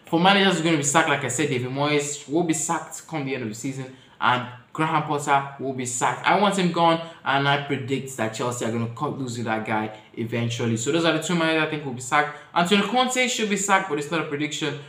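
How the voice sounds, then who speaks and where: A man chats through his opinions in a casual vlog and talks straight to his viewers. His voice sits a little higher than most men's. The sound bounces around an echoey room. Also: a little fast, few pauses. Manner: chatty, addresses viewers directly, shifts pitch and loudness often. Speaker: football vlogger.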